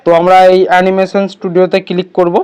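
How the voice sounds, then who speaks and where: চড়া গলার এক পুরুষ টিউটোরিয়াল হোস্ট. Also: male voice, high voice, completely flat delivery, quick pace, darkish tone, clean tone, dull audio. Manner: instructional, addresses viewers as friends. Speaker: tutorial host. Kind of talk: casual step-by-step screen tutorial.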